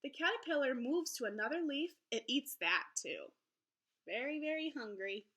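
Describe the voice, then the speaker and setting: A woman teacher reading aloud to young children in an encouraging, expressive storybook manner. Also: high voice, normal speed, slightly rough. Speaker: elementary school teacher. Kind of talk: children's read-aloud lesson.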